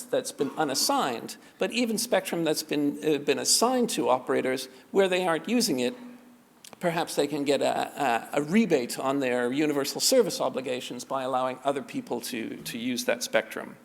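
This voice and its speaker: A man giving a conference talk on policy, halting and often repeating words as he restarts. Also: fairly high pitch, animated, creaky. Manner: halting, many repetitions and restarts. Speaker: conference panelist on spectrum policy. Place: large conference hall. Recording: reverberant conference hall.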